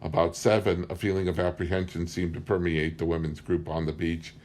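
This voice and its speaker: A man's voice: sits low, level tone, a little fast, darkish tone. A man reading a book aloud as scripted story narration. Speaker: audiobook reader.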